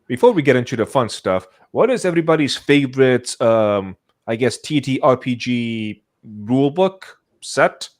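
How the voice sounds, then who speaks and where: A man's voice: mid-range voice, expressive, normal speed, hardly any pauses. A man hosting a casual livestream chat, talking in a jokey, playfully self-important way. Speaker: podcast host.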